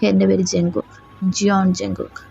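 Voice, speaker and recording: A woman's voice: level tone, vocal fry, warm tone, husky, exaggerated voice. A woman voicing a cold, menacing young mafia boss. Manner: slow, low, possessive and threatening. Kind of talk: Malayalam fan audio drama. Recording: slightly dull lo-fi recording with faint music.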